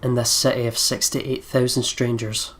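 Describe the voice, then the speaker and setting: A man's voice: mid-range voice, flat delivery, moderate pace, fairly bright tone, slight background noise. A man hosting a let's play, reading visual novel narration dramatically and chatting casually in between.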